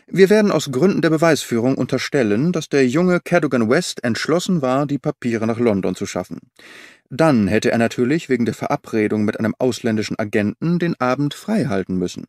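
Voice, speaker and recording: A man reading the part of a well-informed government official giving a measured briefing in an audiobook. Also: medium pitch, expressive, darkish tone, dry recording, studio quality, lightly acted. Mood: challenging.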